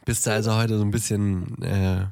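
A man's voice: on the low side, steady tone, normal speed, gravelly, a little mellow, no room sound, clean studio sound. A man with a head cold, chatting casually as a podcast co-host and often searching for words. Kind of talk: casual banter. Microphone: close podcast microphone.

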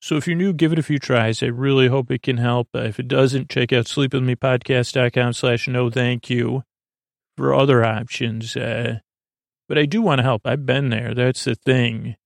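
A man with a gravelly, fry-heavy voice hosts a sleep podcast. He meanders through improvised monologue, halting and repeating himself. The sound is close and dry, and his pitch sits in the middle of the male range. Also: fairly even intonation, dry recording. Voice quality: gravelly creak. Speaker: podcast host.

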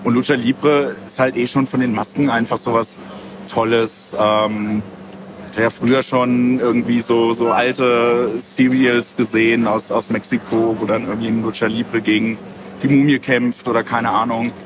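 A man's voice: mid-range voice, flat delivery, normal speed, background noise. A man being interviewed as a comic creator, talking casually and thinking aloud in loose, rambling chains of clauses.

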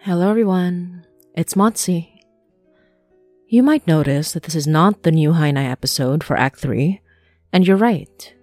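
Woman doing a podcast announcement, lowish voice, bit of music behind. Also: unhurried, a little mellow, no echo. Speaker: podcast host.

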